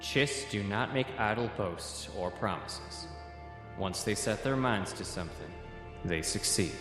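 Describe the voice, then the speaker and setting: A man's voice: on the low side, expressive, unhurried, over music. A man voicing a candid, wary young junior officer in a dramatic comic dub. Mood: resigned.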